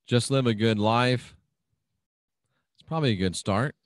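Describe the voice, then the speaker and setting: A man's voice: medium pitch, unhurried, no room sound, clean studio sound. Folksy man hosting a home-made radio show. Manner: folksy, chatty, agreeable. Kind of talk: casual talk reading out live chat comments.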